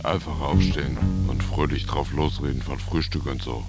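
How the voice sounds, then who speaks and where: An actor playing a laconic, awkward lover in a literary radio drama. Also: male voice, very deep voice, moderate pace, over loud music. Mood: brooding.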